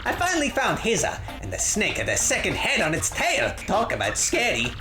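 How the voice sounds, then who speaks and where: A podcaster voicing a boastful, excitable video game hero who recounts tall adventure tales in slangy, dramatic storytelling, in a roomy space. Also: medium pitch, a little fast. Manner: bragging, slangy storytelling, often addressing listener as bro. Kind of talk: dramatic read-aloud of video game dialogue. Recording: roomy recording with loud music.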